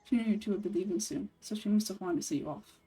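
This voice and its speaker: A woman voicing a composed, sardonic young male forest ranger and scholar in a video game, speaking in a measured, matter-of-fact way. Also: medium pitch, mellow, breathy edge, distant mic, soft background music, close to an everyday voice, low-quality recording. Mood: dry, measured.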